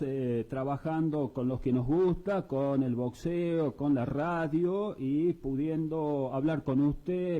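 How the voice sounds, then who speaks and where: A man's voice: a little high, mellow, highs cut off. A man interviewing a guest on his boxing radio show, polite and grateful in tone. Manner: polite, deferential questioning.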